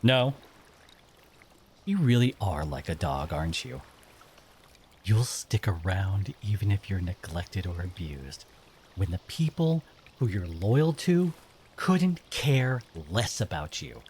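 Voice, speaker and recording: A man acting as a bitter exiled merfolk interrogator in an audio drama, speaking in a stern, accusing way with dramatic pauses. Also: sits low, expressive, a little mellow, slight background noise, full character voice. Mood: stern, menacing.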